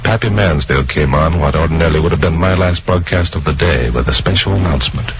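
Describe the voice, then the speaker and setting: An actor playing a tough, defiant male broadcaster in an old-time radio drama, gruff and sardonic as he argues and narrates. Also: extremely low pitch, fast, poor audio. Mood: grim, wry. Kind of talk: old-time radio drama acting, hard-boiled first-person narration. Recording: old radio recording with music behind.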